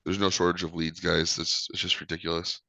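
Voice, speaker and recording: A man's voice: deep voice, little pitch movement, a little fast, vocal fry, pushed and bright, dry recording. A man with a cold leading a sales training call, talking loosely with many ums. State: sick with a cold.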